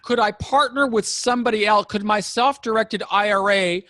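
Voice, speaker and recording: Male interviewer, fifties, high-pitched voice, dry close sound, inquisitive and methodical, talks conversationally. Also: moderate pace, sharp tone, no room sound. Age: 50s. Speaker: interviewer asking about retirement investing.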